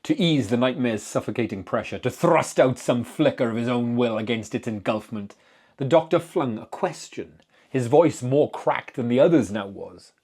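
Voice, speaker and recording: A man giving a dramatic, deliberate reading of literary horror fiction as narrator. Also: mid-range voice, expressive, a little mellow, husky.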